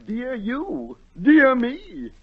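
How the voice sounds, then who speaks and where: A man's voice: extremely high pitch, huge pitch swings, extremely slow, slightly rough, heavily acted. An actor playing an imperious, easily outraged king in 1940s radio drama, exclaiming and repeating himself. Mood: commanding. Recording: dull vintage 1940 radio recording.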